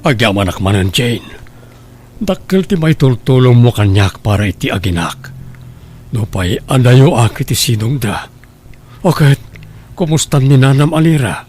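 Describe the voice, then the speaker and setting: A husky middle-aged male voice at an average pitch, with an Ilocano accent. He is an estranged father in a radio drama, earnest and placating, weighed down by remorse. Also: lively intonation, measured pace, pauses often, a little mellow, faint music behind. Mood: grateful.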